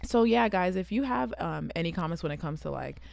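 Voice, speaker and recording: A woman in her twenties with a Southern California accent tinged with British English, co-hosting a podcast. She rambles through animated stories on a close mic. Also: lowish voice, a little fast, vocal fry, no echo. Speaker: podcast co-host. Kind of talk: casual chat.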